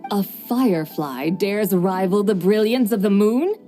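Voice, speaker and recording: A woman's voice: medium pitch, measured pace, mellow, close to an everyday voice. A woman voicing a haughty ancient horse spirit. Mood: stern. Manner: lofty, formal, scolding. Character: proud ancient female horse spirit, stern goddess of farming. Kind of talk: video game voice acting. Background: game soundtrack music.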